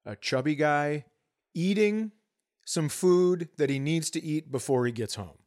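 Higher-voiced middle-aged male comedian. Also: measured pace. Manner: profane, deadpan exaggeration. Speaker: comedian and podcast co-host. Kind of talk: comedic podcast banter and storytelling.

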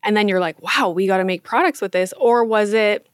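A woman's voice: mid-range voice, a little fast, clean tone, dry recording. Woman podcast host, curious interviewer, dry close-miked sound. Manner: curious, laughs easily. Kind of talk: interview questions.

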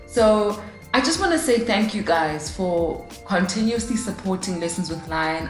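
Chatty young woman podcast host, roomy sound. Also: lowish voice. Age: 20s. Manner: chatty, upbeat, self-deprecating. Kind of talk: casual solo podcast talk. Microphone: studio mic, alone in a room.

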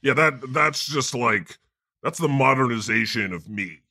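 A man hosting a comedy podcast in the persona of God, joking in a deadpan, self-mocking way. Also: medium pitch, unhurried, a crowd in the background. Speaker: podcast host.

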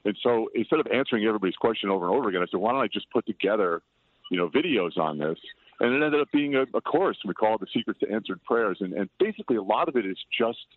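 Older man, low voice on a phone line. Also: animated, racing. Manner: loud-voiced, rambling and self-deprecating. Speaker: guest. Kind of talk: casual interview chat. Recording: tinny dry phone line.

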